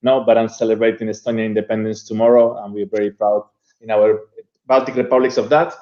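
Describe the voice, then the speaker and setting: A man's voice: lowish voice, little pitch movement, fast, mellow, muffled recording. A man from Spain introducing himself as a panelist with dry, self-mocking jokes. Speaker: startup accelerator executive, panelist.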